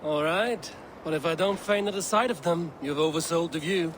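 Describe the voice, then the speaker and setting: A level-headed Viking warrior, voiced by a man, loyal and wry, who moves between dry teasing and blunt command. The voice is on the higher side, in a cinematic game scene with clear background noise. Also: moderate pace, fairly bright tone, natural voice. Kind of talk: dramatic video game acting.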